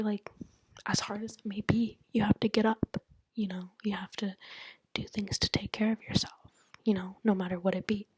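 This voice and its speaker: A woman's voice: mid-range voice, no room sound, low-quality recording. A young woman whispering a candid, rambling monologue to the camera, full of "like" and "you know". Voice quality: breathy whisper. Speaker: vlogger.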